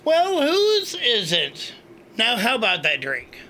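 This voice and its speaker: An actor playing a wry, indifferent, hard-drinking space station officer with a dry, sarcastic manner. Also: male voice, very high voice, wildly swooping pitch, slow, pushed and bright, a little hiss or hum, exaggerated voice.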